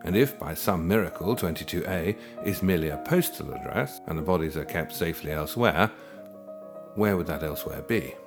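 A man narrates his own written story in a dry, wry storytelling manner. His voice sits extremely low and deep. Guitar music plays clearly behind him. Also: expressive, fairly quick. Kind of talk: scripted first-person narration.

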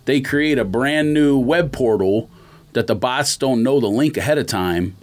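A man's voice: mid-range voice, lively intonation, measured pace. A man co-hosting a podcast in casual chat, explaining things in an emphatic, opinionated way. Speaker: podcast co-host.

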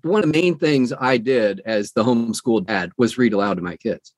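A man in his sixties chatting as an interview guest, telling personal stories in an animated way and acting out quoted dialogue. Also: mid-range voice, normal speed, no room sound. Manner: animated storyteller who acts out quoted dialogue. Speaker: guest, audiobook narrator. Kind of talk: conversational personal storytelling.

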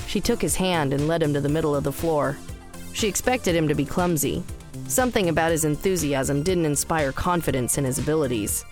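A woman's voice: low-pitched, fairly quick, a little mellow. A woman reading scripted story narration for audio fiction. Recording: roomless dry studio, faint music underneath. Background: faint music.

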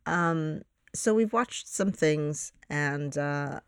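A woman's voice: deep voice, drawn-out words, clean tone, dry recording, clean studio sound. A woman co-hosting a casual film podcast, teasing and blunt, laughing often. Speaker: podcast co-host.

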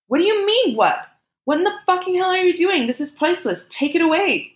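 A woman voicing a furious, frightened woman lashing out at her lover in a sharp, commanding way, as in an audiobook. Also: fairly low pitch, animated, normal speed, roomy sound.

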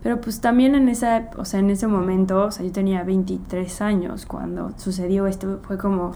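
A woman's voice: medium pitch, fairly even intonation, moderate pace, mellow, slight background noise. A woman musician from Mexico with a Mexican Spanish accent, whose first language is English, chatting in an interview and telling stories in a rambling way, full of fillers. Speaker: guest musician. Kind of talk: casual interview chat.

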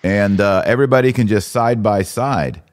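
Very deep-voiced man hosting a podcast. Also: normal speed. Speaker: podcast host. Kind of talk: conversational religious commentary podcast.

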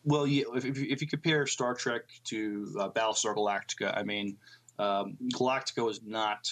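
A man co-hosting a podcast, reading out entertainment news and commenting in a somewhat halting, unpolished way. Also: fairly low pitch, fairly even intonation, fairly bright tone. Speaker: podcast co-host.

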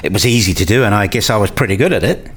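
A man's voice: fairly low pitch, lively intonation, racing, breathy edge. A man acting in a radio play as a weary, wryly self-mocking academic father who narrates his own story. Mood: wry, hesitant. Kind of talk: first-person narration and acted dialogue.

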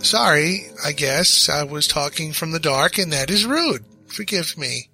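A man voicing a tiny, polite fox of unclear sex in a storybook reading, soft-spoken and well-mannered. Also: a little high, lively intonation, unhurried, gravelly, faint music behind, heavily acted. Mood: polite, coaxing.

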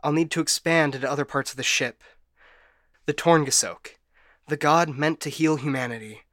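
A man's voice: fairly high pitch, moderate pace, pushed and bright, no echo. Guy doing a glitchy paranoid ship ai, sci-fi audio drama, trips and loops on words, tense edge, close dry sound. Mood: halting, scheming. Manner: stammering, stuck repeating words, searching for lost words. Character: frightened, broken male ship AI, paranoid and unstable. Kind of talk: dramatic science fiction audio monologue.

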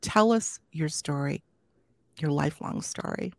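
A woman's voice: low voice, animated, a little slow, creaky. A woman hosting an interview show, chatting casually and inviting her guest to tell her story. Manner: warm, chatty, addresses audience as y'all. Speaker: podcast host.

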